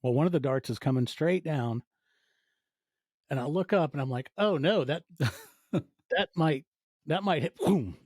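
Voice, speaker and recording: A man in his forties with a soft, mellow voice talks as a guest on a podcast. He tells stories in a casual, conversational way, briefly acting out what people said. The sound is very dry, with no room around it. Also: a little high, animated, a little slow, pauses often, no room sound. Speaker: podcast guest, audio fiction producer. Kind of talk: casual interview storytelling.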